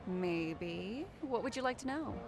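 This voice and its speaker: A woman's voice: medium pitch, lively intonation, a little slow, creaky. An actress playing a wry, playful, chatty young woman in a video game. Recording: music behind the voice.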